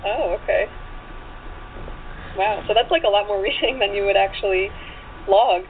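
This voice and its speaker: A woman with a mid-pitched voice talks as a guest author in a relaxed interview. She sounds enthusiastic and conversational. Her audio is narrow and phone-like, with background noise. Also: moderate pace. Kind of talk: casual interview chat.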